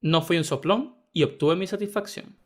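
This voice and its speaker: A man narrating first-person internet stories in a brisk, even reading style. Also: a little high. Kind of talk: scripted story narration.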